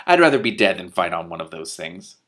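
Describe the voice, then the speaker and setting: A man's voice: low-pitched, big pitch swings, a little fast, a bit bright. A man voicing a big, blunt barbarian hero, baffled and exasperated, in a storybook reading. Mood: pleading, protesting.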